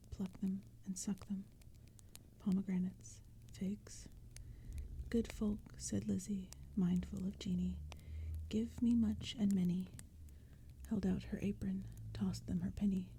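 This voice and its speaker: A woman whispers poetry in a hushed ASMR reading. Her breathy voice is very dark and mellow, with a flat, gentle melody and a slow, measured pace. A crackling fire and forest sounds play softly behind her. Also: medium pitch. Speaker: narrator and reader.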